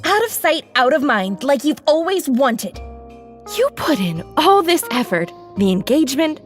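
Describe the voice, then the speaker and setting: A woman's voice: high voice, very animated, a little slow, soft background music. A woman playing a rebellious, foul-mouthed younger sister in an audio drama, sarcastic and biting. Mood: outraged.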